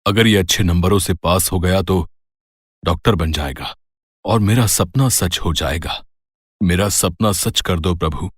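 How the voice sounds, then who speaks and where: A man's voice: deep voice, unhurried, no echo, studio quality. A man playing a kindly, devout old grandfather in a devotional cartoon story, speaking in earnest, reverent prayer. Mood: hopeful prayer.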